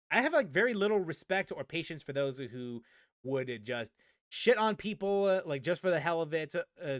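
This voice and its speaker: High-pitched, animated man chatting on stream. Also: no echo, studio quality. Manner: rambling, repeats phrases for emphasis, casual swearing. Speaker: gaming streamer answering viewers. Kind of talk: casual livestream chat about games.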